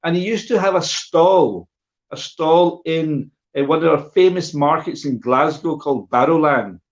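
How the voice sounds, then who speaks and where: A middle-aged man with a slightly high voice shares recollections in an informal online talk. He repeats phrases for emphasis and keeps checking in with listeners. The audio is dull and a little muffled. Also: a little slow. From born in Guyana. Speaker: guest speaker sharing memories of his father. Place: live online video call.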